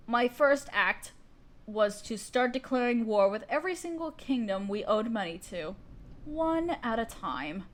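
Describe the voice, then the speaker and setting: Woman performing a haughty evil sorceress queen, higher-pitched female voice, theatrical, condescending, commanding, audio roleplay monologue. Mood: proud, resolute.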